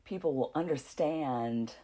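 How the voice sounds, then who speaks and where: A woman's voice: sits low, a little slow, warm tone. A woman from North Carolina, a documentary filmmaker and professor, speaking in a podcast interview and explaining her ideas with everyday examples.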